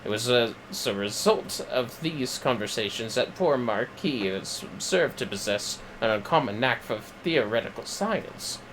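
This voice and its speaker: Man playing fantasy game strategist, male, earnest, formal, declamatory, raised animated pitch, noisy livestream. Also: measured pace, few pauses. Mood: thoughtful, resolute. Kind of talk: acted reading of fantasy game dialogue.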